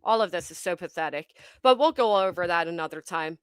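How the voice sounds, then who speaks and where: A woman's voice: on the low side, lively intonation, fairly quick, clean tone, no echo. A woman hosting a commentary stream, talking bluntly and scornfully and swearing freely. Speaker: commentary channel host. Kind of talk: casual reaction commentary.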